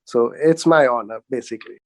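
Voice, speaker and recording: A man's voice: medium pitch, brisk, dry recording. A man with an Indian accent speaking earnestly as a panelist in a radio discussion. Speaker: animal rights activist, panelist.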